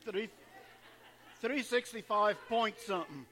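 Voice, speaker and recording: Fervent, high-voiced pastor rallying a congregation. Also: male voice, slow, pushed and bright. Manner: fervent, exhorting crowd, chuckling asides. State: slightly hoarse from worshiping. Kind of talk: church service exhortation and announcements. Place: church sanctuary. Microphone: handheld or stage microphone.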